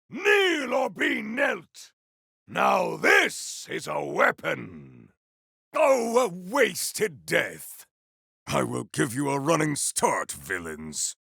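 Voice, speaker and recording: A man voicing a grandiose, battle-hungry warrior in a video game, with a rough, gravelly voice and bombastic, theatrical delivery. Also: very high voice, pushed and bright, dry recording, studio quality, heavily acted. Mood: solemn, restrained. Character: grandiose, battle-hungry male warrior with fire and ice powers. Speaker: playable game character. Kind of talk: video game combat barks.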